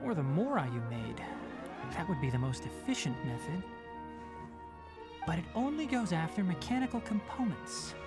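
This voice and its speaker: A man's voice: sits high, big pitch swings, normal speed, long pauses, over music. A young man voicing a composed, analytical, blunt forest watcher in a video game, speaking in a precise, formal way. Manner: precise, matter-of-fact, formal.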